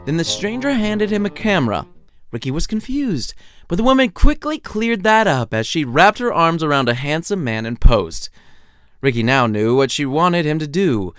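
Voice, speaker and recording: A man's voice: a little high, sing-song, moderate pace, fairly bright tone, no echo, clean studio sound, soft background music. A man reading his own story as a wry, theatrical narrator. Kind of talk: lively scripted storytelling narration.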